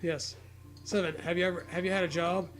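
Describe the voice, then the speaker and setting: A man's voice: high voice, flat delivery, fairly quick, music in the background. A man reading an online horror story aloud as a comedy co-host, dropping mocking, profane asides.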